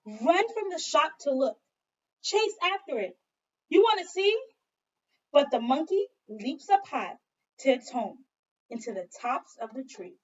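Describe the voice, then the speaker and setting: A woman with a high voice reads to young children as their teacher, her intonation swinging widely. She pauses to ask questions and repeat things for the class. The audio is slightly dull and lo-fi, like a home video. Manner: addresses young children directly, with prompting questions and repetition; expressive storybook reading. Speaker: second grade teacher. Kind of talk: children's read-aloud lesson.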